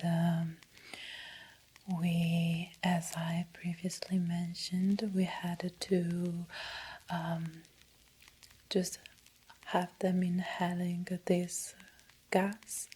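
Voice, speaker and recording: A woman's voice: on the low side, mellow, exaggerated voice, poor audio. Whispering Italian-accented woman playing an uneasy doctor. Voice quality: breathy, whispery. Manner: slow, halting, hesitant. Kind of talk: soft-spoken ASMR roleplay.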